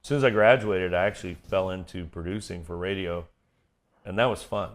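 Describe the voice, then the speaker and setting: A middle-aged American man with a booming baritone, chatting casually as an interview guest. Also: brisk. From Kansas City area, United States. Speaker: guest, event MC and voiceover artist. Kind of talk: casual interview chat with stories.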